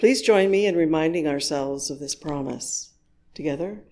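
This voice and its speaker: A worship leader reading a scripted service in a measured, deliberate way with pauses between phrases. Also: mid-range voice, darkish tone.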